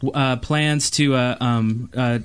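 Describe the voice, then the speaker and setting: A man's voice: mid-range voice, steady tone, takes it slowly, smooth. A man hosting a podcast in a casual, conversational style. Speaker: podcast host. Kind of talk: casual chat.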